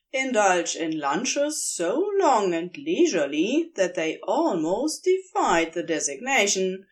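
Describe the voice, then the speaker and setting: Slow, lively-voiced woman reading a story. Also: medium pitch. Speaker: podfic reader. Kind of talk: audiobook-style reading of fan fiction.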